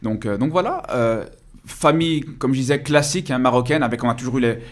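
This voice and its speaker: A man's voice: medium pitch, fast. A man, a guest in a casual interview, talking passionately and repeating phrases for emphasis. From Belgium. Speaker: guest, content creator.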